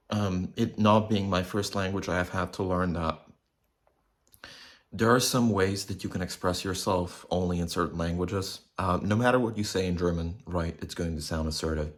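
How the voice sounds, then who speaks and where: Young man, deep low voice, blunt, rambling vlog talk. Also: steady tone, moderate pace. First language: Polish. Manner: rambling, blunt, swears freely. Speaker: vlogger. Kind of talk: casual monologue to camera.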